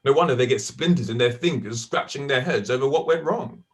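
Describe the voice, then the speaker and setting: A man's voice: medium pitch, a little fast, natural voice. An actor playing an articulate, condescending young man who speaks precisely and dryly, in an audio drama. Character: posh, articulate young man, dry and superior. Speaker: actor in a stage play.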